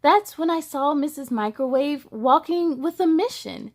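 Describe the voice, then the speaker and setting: High-voiced woman reading a story slowly. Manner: animated, wondering, speaking to young listeners. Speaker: storytime host reading a children's picture book. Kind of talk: expressive read-aloud with casual asides.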